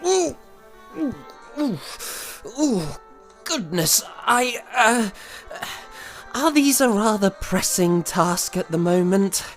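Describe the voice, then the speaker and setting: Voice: medium pitch, sing-song, takes it slowly, soft background music. An actor playing an aggrieved, spirited young composer of the 1780s in a florid, theatrical period audio drama style. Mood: flustered, defiant. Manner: theatrical, florid, hesitant sputtering. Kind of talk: period audio drama acting, letter narration.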